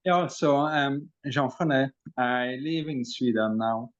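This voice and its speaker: A man in his forties from Belgium with a French accent, a podcast guest and energy healer, talking in a halting way with many pauses and fillers. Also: medium pitch. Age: 40s. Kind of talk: casual interview talk. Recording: dull lo-fi audio.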